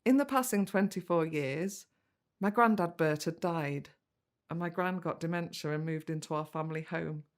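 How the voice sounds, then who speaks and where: A woman's voice: on the low side, moderate pace, lightly acted. An actress playing a young woman in an audio drama, a granddaughter who narrates and talks with her family. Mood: reflective. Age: young adult. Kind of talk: first-person narration and dialogue in audio drama.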